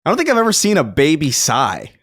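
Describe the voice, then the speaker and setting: Animated, joking man with a swooping voice. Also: on the high side, quick pace, no echo, studio quality. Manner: joking, laughs often, rambling with restarts. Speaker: podcast co-host. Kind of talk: casual chat.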